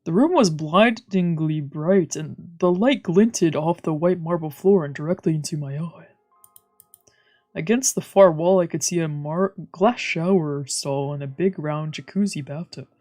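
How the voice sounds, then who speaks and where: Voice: medium pitch, measured pace, warm tone. A streamer reading visual novel narration aloud, with casual asides, while music plays behind. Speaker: streamer, let's player.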